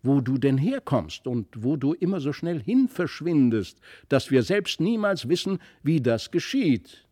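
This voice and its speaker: A man's voice: mid-range voice, big pitch swings, a little slow, hardly any pauses, dark tone, dry recording, studio quality. A man voicing an eager, impetuous, curious young boy in a storybook reading. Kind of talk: literary audiobook reading.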